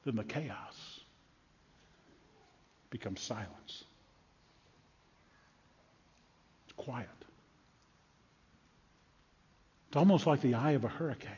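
Man acting out a high-pitched excitable blind beggar, echoey hall. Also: a little hiss or hum, lightly acted. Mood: hushed, awed. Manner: halting, repeating, dramatic swings from hushed to shouting. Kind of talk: first-person dramatic monologue, live storytelling. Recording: echoey large hall, slightly muffled.

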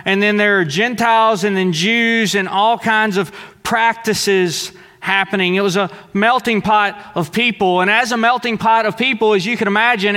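A man's voice: high voice, pushed and bright. A man from Southeast Texas preaching a sermon in a loose, conversational way in a church sanctuary. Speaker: pastor. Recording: long reverb of a large church hall.

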